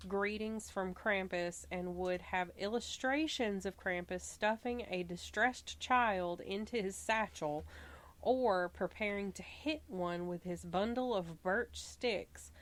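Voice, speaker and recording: A woman with a Southern US accent hosting a podcast. She reads from her researched notes and breaks off for relaxed, chatty asides, stumbling now and then and laughing at herself. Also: mid-range voice, slow. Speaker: podcast host.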